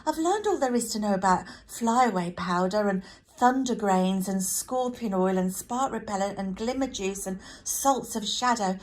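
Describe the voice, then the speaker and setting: A woman's voice: medium pitch, normal speed, hardly any pauses, lightly acted. A woman voicing an earnest, frustrated young girl in a storybook, with a plaintive manner.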